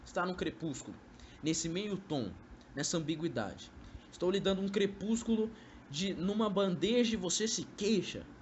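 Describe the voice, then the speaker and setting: Young man acting a coaxing Brazilian lover, animated pitch. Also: moderate pace, lo-fi sound. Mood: dreamy, persuasive. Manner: coaxing, theatrical, poetic. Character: sly, coaxing young man luring his former lover. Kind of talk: amateur radio drama acting. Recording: noisy amateur radio drama recording.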